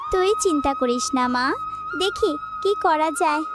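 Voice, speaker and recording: A poor jackal mother put on by a woman in a cartoon, very high in pitch, tender and worried. She talks in a plaintive, affectionate way over clear music. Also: lively intonation, normal speed. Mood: reassuring. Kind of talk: cartoon voice acting.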